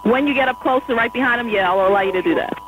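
Brisk woman controller over noisy radio. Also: fairly high pitch, phone-quality sound. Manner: brisk, polite and apologetic. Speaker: air traffic controller. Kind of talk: radio traffic control instructions. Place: airport control tower. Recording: noisy radio transmission with hum.

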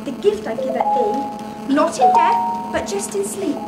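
A woman's voice: high-pitched, lively intonation, unhurried. A woman playing a theatrical magical enchantress in a stage pantomime, projecting her lines in a declamatory way. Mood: solemn. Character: theatrical pantomime fairy and gloating wicked sorceress. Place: theatre stage, filmed from the audience. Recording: roomy theatre recording with loud music.

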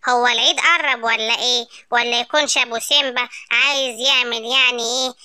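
Cartoon villain: woman as mocking, conspiratorial Egyptian male animal, high register, stretched-out sly phrases. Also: fairly even intonation, fast talker, pushed and bright, smooth. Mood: puzzled, mocking. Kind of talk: children's animal story acting.

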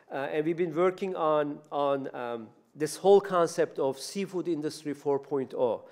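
A man in his fifties speaks English with a Turkish accent, his voice a little higher than most men's. He lectures into a podium microphone in a university room and talks casually, full of "you know" and restarted phrases. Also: a little mellow. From Turkey. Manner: conversational, many fillers and restarts. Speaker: robotics professor giving a talk. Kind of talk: public lecture.

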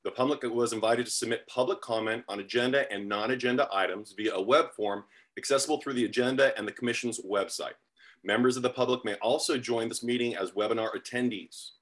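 A man's voice: mid-range voice, fairly even intonation, fairly quick, a bit bright. Man, middle-aged, committee chair, video call, plain procedural delivery, filler words. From San Diego, USA.

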